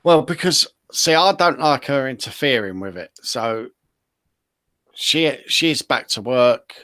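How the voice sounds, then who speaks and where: A man's voice: mid-range voice, slow, bright, forceful tone, no room sound. A man from England, chatting casually and rambling along as a co-host.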